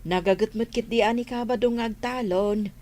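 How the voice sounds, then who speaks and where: A woman's voice: a little high, fairly even intonation, moderate pace, darkish tone, natural voice. A woman playing a village farm wife in a comedy radio drama, with an Ilocano accent. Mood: chatty.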